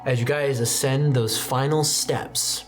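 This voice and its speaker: A man narrating a tabletop roleplaying game as game master, setting scenes in a measured way and dropping soft for quiet details. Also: mid-range voice, steady tone, over loud music.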